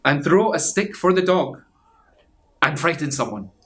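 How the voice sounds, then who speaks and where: A man's voice: fairly high pitch, sing-song, a little slow, a bit bright. A man voicing a mischievous little skeleton from a children's storybook, bouncy and eager. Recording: clear background noise.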